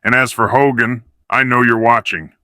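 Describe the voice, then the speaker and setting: A man acting a menacing wrestling villain, delivering an ominous, grandiose scripted promo as leader of a dark faction. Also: medium pitch, fairly even intonation, moderate pace, no echo, studio quality, lightly acted.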